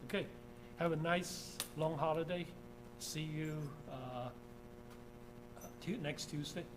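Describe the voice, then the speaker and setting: A man from Taiwan giving an informal engineering lecture over slides, often checking in with "okay?" and filling pauses with "uh". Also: a little high. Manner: informal, repeats "okay?", many "uh" fillers. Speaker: engineering professor. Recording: steady background hum.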